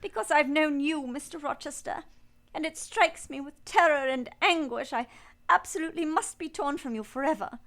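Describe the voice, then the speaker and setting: A woman's voice: sits high, moderate pace, fairly bright tone. A woman playing an earnest, proud young governess in love, speaking formal literary lines in a radio play over music. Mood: anguished. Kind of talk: radio play acting with first-person narration. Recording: music behind the voice.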